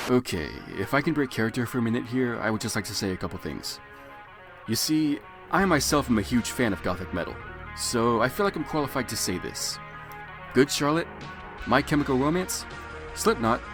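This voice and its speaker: A man narrating a story theatrically for comedy, with sardonic asides as commentator. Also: medium pitch. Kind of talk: dramatic comic reading with dry asides.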